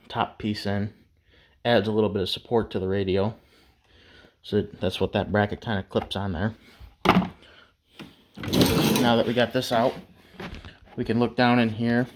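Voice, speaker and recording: A man's voice: on the low side, little pitch movement, moderate pace, long pauses, background noise. A man giving a casual, unscripted hands-on DIY tutorial in a laid-back, folksy way. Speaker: DIY how-to presenter.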